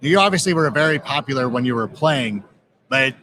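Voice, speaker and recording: A man from Southern California hosting a casual live sports podcast, talking in an excitable, rambling way. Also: medium pitch, fairly quick, slight background noise, rough recording. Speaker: podcast host. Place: live podcast table at a busy convention media area.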